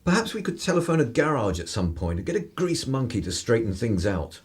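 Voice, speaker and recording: An actor playing a cynical, irreverent 1930s English broadcaster in a radio play, with a husky voice and sardonic, theatrical turns of phrase. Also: male voice, medium pitch, sing-song, brisk. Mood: exasperated.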